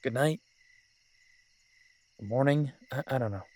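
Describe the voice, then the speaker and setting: A man playing a lovesick, wry mafia boss who rambles haltingly in an intimate audio drama voice message. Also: mid-range voice, darkish tone, lightly acted. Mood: rambling, tender. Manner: halting, rambling, drawn-out pauses, occasionally swearing.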